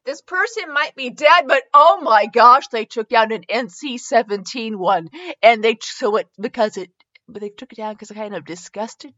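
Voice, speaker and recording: A woman hosting a podcast with casual, humorous review chat, rambling and halting as she goes, often giggly. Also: on the high side, sharp tone, muffled recording. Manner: giggly, frequently breaking into laughter; rambling, halting self-interrupted delivery. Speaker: podcast host.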